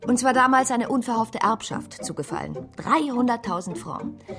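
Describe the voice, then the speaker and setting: A woman's voice: fairly high pitch, animated, moderate pace. An actress playing a woman small investor telling her story in a German radio drama. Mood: confiding. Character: woman small investor recalling being swept up in speculation. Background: music.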